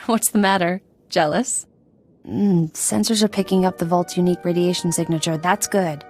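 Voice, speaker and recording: A woman's voice: fairly low pitch, steady tone, moderate pace, darkish tone, soft background music, natural voice. A woman playing a streetwise, confident smuggler in a video game, teasing and sardonic. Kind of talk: video game character acting.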